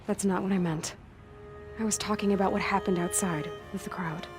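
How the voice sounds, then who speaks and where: An actress playing a self-reliant young huntress in a video game, direct, matter-of-fact and determined. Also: female voice, mid-range voice, steady tone, brisk, music in the background, natural voice. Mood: probing.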